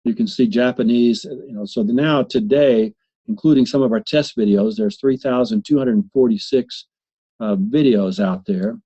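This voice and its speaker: A man presenting a software demo in a webinar, talking haltingly with many fillers and restarts. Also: medium pitch, breathy edge. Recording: slightly muffled webinar audio.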